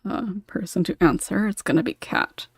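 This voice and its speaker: Relaxed low-toned woman hosting, dry sound. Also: normal speed, creaky, husky, dry recording. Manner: informal, chuckles often while talking. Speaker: podcast host and moderator. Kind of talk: casual panel discussion.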